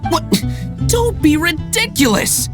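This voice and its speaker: A man's voice: very high-pitched, very animated, slow pace, over music, heavily acted. A man voicing an opinionated, self-assured fruit stall vendor in an anime game, pompous, emphatic and argumentative. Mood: indignant. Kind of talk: anime game dialogue acting.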